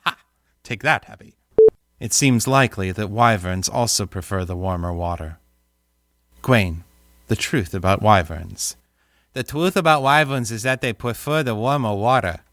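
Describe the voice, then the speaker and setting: A man's voice: lowish voice, animated, moderate pace, a bit bright, no room sound. Joking British man doing audiobook outtakes. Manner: joking, sing-song silly asides. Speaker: audiobook voice actor. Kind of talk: playful recording outtakes.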